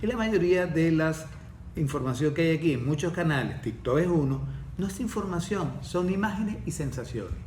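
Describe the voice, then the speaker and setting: A man's voice: on the high side, lively intonation, darkish tone, far from the mic, a little hiss or hum. Lecturing Venezuelan man, off-mic in an echoey room. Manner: measured, lecturing, poses and answers his own questions. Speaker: course facilitator, guest. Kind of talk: explanatory podcast talk.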